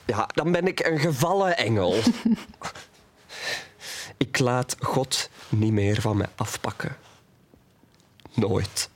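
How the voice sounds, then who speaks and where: Audio drama role: injured young male believer, earnest, defiant, confiding, medium-pitched. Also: animated, slow pace, long pauses. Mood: defiant, resolute. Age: young adult. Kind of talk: intimate audio drama dialogue.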